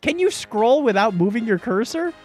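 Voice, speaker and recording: A man's voice: extremely high pitch, very animated, normal speed, a little mellow, breathy edge, soft background music. A man co-hosting a podcast, bantering casually and reading out items with wry, joking asides. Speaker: podcast co-host.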